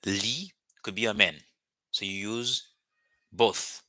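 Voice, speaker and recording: A man's voice: on the low side, bright, forceful tone, no echo. Young man with a haitian accent teaching on a video call, patient and repetitive, dry close sound. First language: Haitian Creole. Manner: patient, repeats words slowly for learners. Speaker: language teacher. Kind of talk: live online language lesson.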